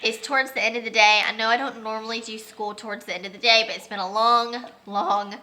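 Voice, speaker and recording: A woman's voice: on the high side, fairly even intonation, quick pace, sharp tone. A young woman, congested and losing her voice, chatting casually with many ums as she vlogs a homeschool lesson. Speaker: homeschooling mom. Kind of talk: casual home vlog lesson. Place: family home with children.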